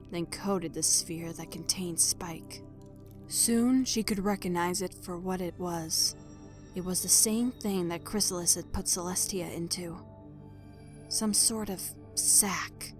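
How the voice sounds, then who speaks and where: A woman reading a scripted dramatic story as narrator, measured and expressive in her delivery. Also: mid-range voice.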